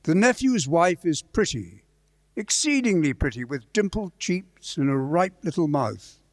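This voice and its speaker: A man's voice: a little high, big pitch swings, a little slow. A man narrating a radio play in a scripted storytelling style. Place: live on stage in a church.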